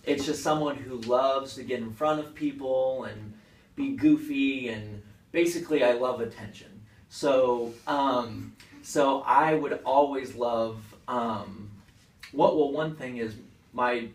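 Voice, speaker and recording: A man who performs on stage answers interview questions casually, explaining things simply in a halting, conversational way. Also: mid-range voice. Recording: echoey room, far from the mic.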